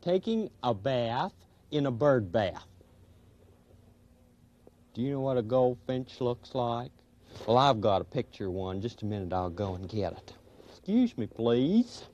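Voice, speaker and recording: A man's voice: mid-range voice, sing-song, a little slow, pauses often, darkish tone. A man doing a chatty friendly owl puppet. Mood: friendly, eager. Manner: eager, chatty, with chuckles and "uh"s. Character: friendly male owl puppet who knows birds. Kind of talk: children's puppet show. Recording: dull old TV recording.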